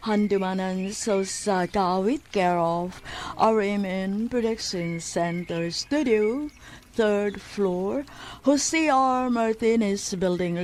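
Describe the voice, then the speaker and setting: A woman's voice: mid-range voice, darkish tone, a little hiss or hum. A woman with a Cebuano Filipino accent reading a scripted introduction as a radio drama announcer. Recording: studio voice over faint music.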